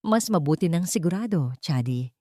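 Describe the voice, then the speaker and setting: A woman's voice: lowish voice, animated, dark tone, dry recording, clean studio sound, lightly acted. Woman acting an evasive rich young man. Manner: hurried, evasive. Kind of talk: Filipino radio drama acting.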